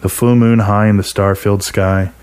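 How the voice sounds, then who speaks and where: Guy narrating a first person short story, kinda low voice. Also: little pitch movement, normal speed, darkish tone, smooth.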